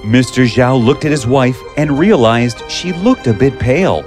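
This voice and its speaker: Male storyteller, fairy-tale narration, slow pace, lively intonation, measured phrasing, faint music behind. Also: mid-range voice. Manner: expressive, measured.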